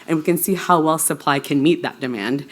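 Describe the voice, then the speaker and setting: A woman with a low voice presents research at a live forum. She explains technical material in an informal way, as a conference speaker would. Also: brisk. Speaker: researcher presenting a poster pitch.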